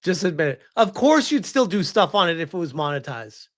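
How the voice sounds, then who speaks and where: A man's voice: a little high, very animated, fairly quick. A man doing casual live reaction commentary in an incredulous, mocking and emphatic way.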